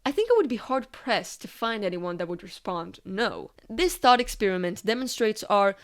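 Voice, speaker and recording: A woman's voice: medium pitch, expressive, moderate pace. A woman narrating a scripted video essay in a conversational, explanatory way with casual asides.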